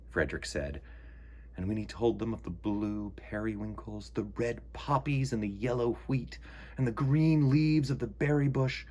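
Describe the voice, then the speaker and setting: Husky, highly animated male storytime host. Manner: expressive, dramatic pauses. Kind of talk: storybook reading and chat to children.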